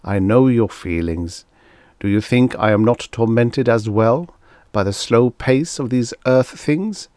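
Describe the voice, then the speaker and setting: A man's voice: medium pitch, a little slow, a little mellow, no echo, clean studio sound, close to an everyday voice. A man voicing a stern, dutiful male wind creature with a stiff, stubborn, scolding manner in a narrated story. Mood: gruffly consoling.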